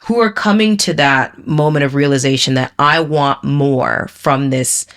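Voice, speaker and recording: Candid woman hosting a podcast. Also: very deep voice. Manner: candid and blunt, with casual swearing and quick laughs. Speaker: podcast host. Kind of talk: casual podcast interview.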